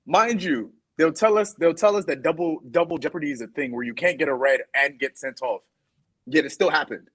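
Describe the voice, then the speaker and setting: A man's voice: fairly high pitch, a little fast, breathy edge, dry recording. A man giving an exasperated rant about refereeing as a panelist on a football chat show, often repeating himself. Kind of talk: casual heated football chat.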